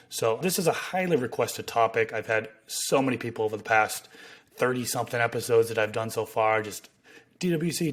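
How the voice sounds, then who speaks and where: A man in his thirties hosting a gardening podcast from a home room on a boom-arm studio mic, his voice hoarse and a bit raspy, reading sponsor spots in an upbeat way. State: hoarse, slightly raspy from smoke. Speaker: podcast host. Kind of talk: casual podcast chat and sponsor reads.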